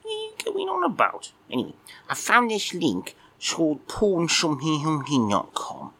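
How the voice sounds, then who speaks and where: A man's voice: a little high, wildly swooping pitch, moderate pace, pushed and bright, breathy edge, pitched above the performer's own voice, full character voice. A man putting on a cheeky crude male cat. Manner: rambling, smutty, mock-innocent.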